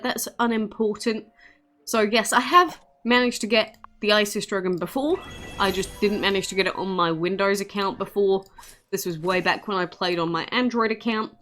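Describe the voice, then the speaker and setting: A woman's voice: medium pitch, a bit bright. A woman giving casual, chatty gameplay commentary in an informal, self-deprecating way as a video host.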